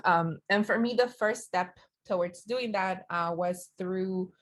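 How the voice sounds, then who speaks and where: A woman's voice: medium pitch, unhurried. A woman from Peru giving a casual online talk as a guest speaker. She answers audience questions in a searching, conversational way, with many fillers and self-corrections, over a computer mic on a video call.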